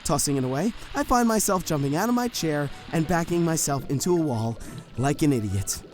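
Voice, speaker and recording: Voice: medium pitch, lively intonation, brisk, music in the background, natural voice. A performer narrating in first person as a harried prison warden, frantic and exasperated, in a fan audio drama.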